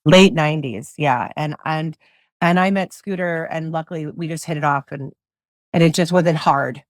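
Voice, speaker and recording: A middle-aged woman with a low voice, a singer-songwriter guest chatting loosely in an interview, full of fillers and restarts. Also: normal speed, no room sound. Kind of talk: casual interview chat.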